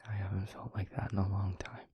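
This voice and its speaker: A man playing a gentle, devoted vampire boyfriend in an intimate audio roleplay, speaking earnestly and haltingly in a breathy, husky near-whisper. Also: low voice, flat delivery, slight background noise. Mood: hesitant, confessional. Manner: slow, halting, tender reassurance.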